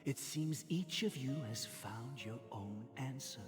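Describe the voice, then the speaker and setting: An actor voicing a calm, enigmatic older father figure in a game, with a measured, philosophical manner. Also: medium pitch. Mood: calm, probing. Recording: game audio with music behind.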